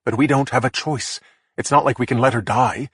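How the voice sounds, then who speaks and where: A man's voice: medium pitch, fairly even intonation, brisk, a bit bright, slightly rough, dry recording, clean studio sound, voice pushed up. A man voicing a stressed middle-aged man who hisses threats and panics on the phone, in a profane, snarling way. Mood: panicked. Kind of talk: audiobook character voice.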